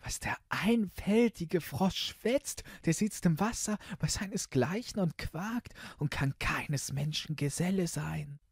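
Man putting on a high, girlish storybook princess. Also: lively intonation, takes it slowly, few pauses, breathy edge, no room sound, clean studio sound, full character voice. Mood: scornful. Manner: high-pitched girlish exclaiming. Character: young, vain princess, quick to promise. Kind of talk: fairy-tale audiobook character voice.

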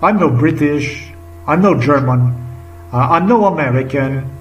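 A man's voice: fairly high pitch, lively intonation, a little slow. A middle-aged man with a Slovenian accent talks straight into a webcam from a small room, circling back on his points and hammering words over and over. The sound is roomy and distant from the mic, with faint music underneath. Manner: rambling, repetitive, emphatic, drops to a whisper at times. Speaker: vlogger. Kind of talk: unscripted commentary to webcam.

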